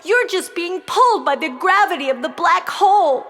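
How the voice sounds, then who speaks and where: A woman's voice: very high-pitched, lively intonation, moderate pace, a bit bright. A woman playing an emotional, brainy nine-year-old girl in a children's audio play, melodramatic and pleading. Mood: enraged, betrayed. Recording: long reverb on the voice.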